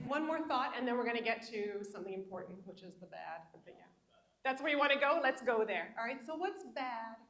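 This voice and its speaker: A woman's voice: on the high side, expressive, brisk, fairly bright tone, reverberant. A woman presenting an interactive session, putting questions to the audience. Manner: guiding, asks the room questions.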